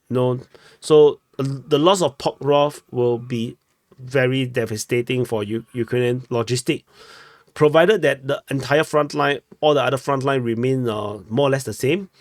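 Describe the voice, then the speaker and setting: A man's voice: medium pitch, measured pace, dry recording. Chatty Singaporean man, dry room. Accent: Singaporean English. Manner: chatty, self-correcting, ends with "no?". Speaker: war map analyst. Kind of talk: casual map commentary. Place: small room at home.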